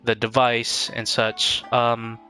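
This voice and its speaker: A man giving casual live-stream game commentary in a halting, rambling way, often repeating words as he goes. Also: medium pitch, monotone, sharp tone, soft background music.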